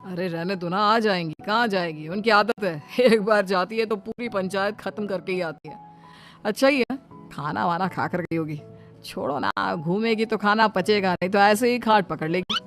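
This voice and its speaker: A woman's voice: mid-range voice, normal speed, faint music behind, close to an everyday voice. A woman voicing a plain-spoken, practical thirty-year-old son in a cartoon story, matter-of-fact in manner. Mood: dismissive. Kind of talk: cartoon moral-story dialogue.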